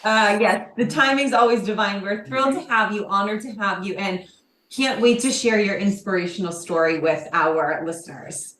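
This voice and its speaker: A woman's voice: mid-range voice, moderate pace, fairly bright tone. A woman co-hosting a podcast interview in a conversational style. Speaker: podcast co-host. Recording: roomy, echoing sound.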